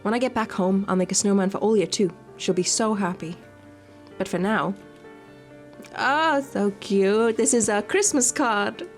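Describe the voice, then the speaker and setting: Expressive woman reading aloud over music. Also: mid-range voice, unhurried. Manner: expressive read-aloud with playful asides. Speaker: gaming video creator. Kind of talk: visual novel playthrough, reading aloud with reactions. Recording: music behind the voice. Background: game music.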